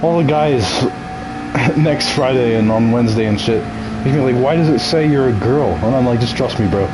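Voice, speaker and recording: A man's voice: mid-range voice, normal speed, background noise. A young man chatting casually as a game streamer, nasal and ill, joking, laughing often and tripping over his words.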